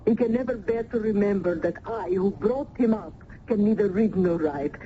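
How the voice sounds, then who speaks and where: A woman's voice: medium pitch, moderate pace, close to an everyday voice. An actress playing an effusive, motherly old Italian nurse turned landlady in a radio drama, with an Italian accent. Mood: kindly, fussing. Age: older. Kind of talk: 1970s radio drama acting. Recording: muffled, noisy old radio broadcast.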